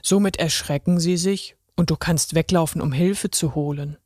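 Storybook figure: gentle, deep-voiced pen ticket inspector, voiced by a woman, low and warmly encouraging, dry recording. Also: moderate pace, no room sound. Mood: reassuring. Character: kindly male pen ticket inspector with mustache. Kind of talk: children's story reading.

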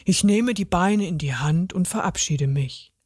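A big, clumsy male troll with a soft heart talks slowly and a little low, puzzled by everything, as a woman reading the story puts him on. The sound is dry and close. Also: animated, slightly rough, no room sound. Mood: bewildered.

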